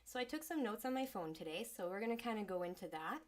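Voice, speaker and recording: Female host, soothing and unhurried, mid-range voice, faintly stuffy from a cold. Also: medium pitch, expressive. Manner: soft, soothing, unhurried delivery. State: slightly congested. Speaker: host and sound healer. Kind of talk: livestreamed spiritual talk. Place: small home studio.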